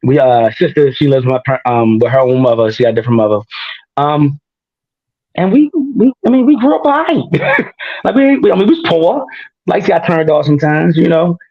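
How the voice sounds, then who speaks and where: A man, a rapper being interviewed, chatting in a relaxed way, laughing often and trailing off with fillers. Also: on the high side, fairly quick. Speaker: rapper guest. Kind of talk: casual interview chat. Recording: dull lo-fi podcast audio.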